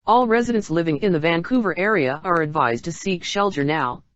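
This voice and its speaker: A woman delivers a scripted emergency alert in a fairly low voice. Her manner is formal and instructional, like an official announcer. The sound is very dry and a little dull and lo-fi. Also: expressive, a little fast, dry recording.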